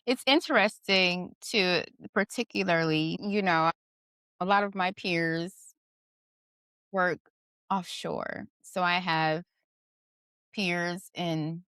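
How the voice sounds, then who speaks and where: A woman's voice: fairly low pitch, takes it slowly, pauses often, a bit bright, clean tone, no echo, studio quality. A middle-aged woman hosting a casual conversation, thoughtful and questioning, often adding "you know" and "right". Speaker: podcast host.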